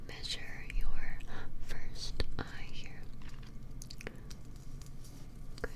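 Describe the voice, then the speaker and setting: Slow whispering woman as a flustered female vampire. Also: natural voice. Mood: gentle, focused. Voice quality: breathy whisper. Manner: hesitant, apologetic, halting, softly attentive. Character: flustered, smitten female vampire measuring a strange creature. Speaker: ASMR performer. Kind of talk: whispered ASMR personal attention roleplay.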